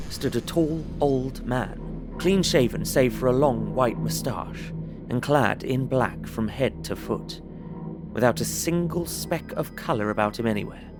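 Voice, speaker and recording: A man playing an earnest young solicitor, narrating his travel diary in a measured, descriptive way for an audio drama. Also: mid-range voice, close to an everyday voice. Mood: awed, watchful. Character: young English solicitor recounting his journey, earnest, observant. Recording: prominent music under voice. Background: music.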